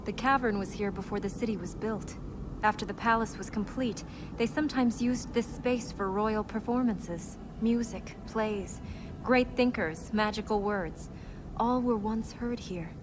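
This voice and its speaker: An actress playing an earnest, thoughtful young princess in a video game, speaking seriously and with measured care. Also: female voice, medium pitch, natural voice. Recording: clear background noise.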